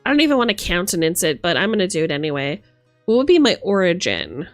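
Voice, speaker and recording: A woman streamer chatting casually and reading aloud from a rulebook, with an animated, theatrical manner as she reacts. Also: medium pitch, clean tone, no room sound. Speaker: streamer host. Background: music.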